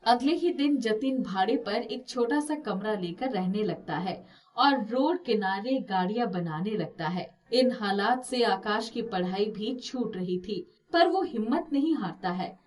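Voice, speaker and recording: A woman's voice: medium pitch, animated, unhurried, darkish tone, recorded from a distance. A woman reading scripted narration for a moral story as the storyteller.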